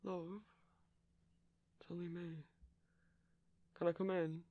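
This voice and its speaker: A performer playing a wounded male villain turned tender lover in an intimate ASMR romance roleplay, speaking in a breathy, hesitant, soft-spoken way. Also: medium pitch, flat delivery, slow, no echo, dull audio. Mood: tentative, concerned. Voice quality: breathy, whispery.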